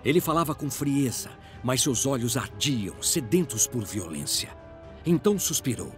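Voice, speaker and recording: A Brazilian man narrates a fantasy audio drama. His delivery is dramatic and scripted, with a widely varied pitch in a mid register. Music plays clearly behind him. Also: very animated, moderate pace.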